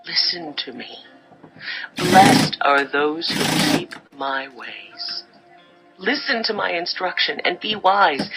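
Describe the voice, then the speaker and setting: Slow, stately spirit of Wisdom. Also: female voice, lowish voice, rough recording. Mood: gently exhorting. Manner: stately, measured, declamatory. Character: Wisdom personified, a solemn, commanding female spirit. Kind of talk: dramatized scripture reading.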